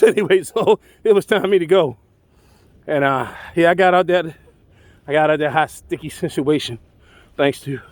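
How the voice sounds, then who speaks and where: Male storyteller, notably high voice, dry close sound, colloquial and dramatic delivery. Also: no echo. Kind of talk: animated first-person tall-tale storytelling.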